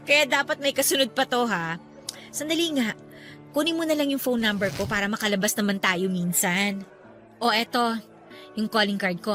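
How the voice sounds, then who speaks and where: A woman's voice: mid-range voice, a bit bright. A woman playing a playful, chatty young businesswoman meeting an old flame in an audio drama, with a teasing, exclaiming manner. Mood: cheerful. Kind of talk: Filipino audio drama acting.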